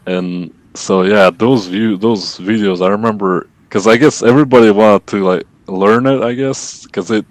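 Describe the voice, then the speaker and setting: A man's voice: fairly low pitch, steady tone, moderate pace, few pauses, no room sound. A man hosting a podcast, chatting loosely with lots of fillers and asking questions. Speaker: podcast host. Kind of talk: casual interview chat.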